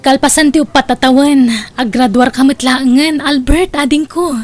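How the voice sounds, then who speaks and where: A woman's voice: high voice, fairly even intonation, moderate pace, a little mellow, soft background music, close to an everyday voice. A woman playing a devoted, hardworking young elder sister with a Filipino accent, earnest and emotive in an Ilocano family radio drama. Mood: encouraging.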